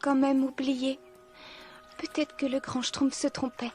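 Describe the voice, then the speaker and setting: A woman voicing a sweet, hopeful young female creature in a cartoon, gentle and tentative. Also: high voice, level tone, measured pace, soft background music. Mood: hesitant.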